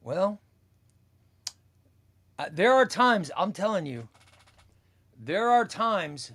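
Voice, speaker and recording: A man's voice: high-pitched, very animated, drawn-out words, fairly bright tone. A man in his fifties hosting a comics livestream, chatting casually as he reads viewer comments aloud, rambling and repeating his phrases. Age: 50s. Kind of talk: casual chat reading live comments.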